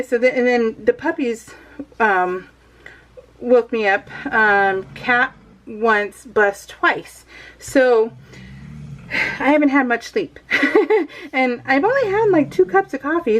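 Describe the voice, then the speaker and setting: A woman's voice: on the high side, poor audio. A middle-aged American woman rambling casually as a craft video host, giggly and self-deprecating.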